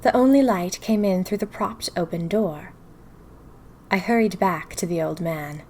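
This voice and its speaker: Casting: female actor as dramatic, curious young woman of the twenties telling her diary, expressive audio-drama storytelling. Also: fairly low pitch, measured pace, a little hiss or hum, lightly acted. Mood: scheming, determined. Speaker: audio fiction narrator. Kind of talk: first-person diary narration in a fiction podcast.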